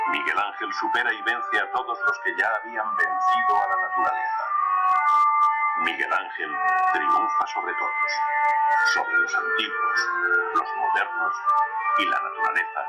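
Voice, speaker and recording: A man's voice: fairly low pitch, poor audio. A man giving formal, declamatory scripted documentary narration. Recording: archive documentary clip with music behind.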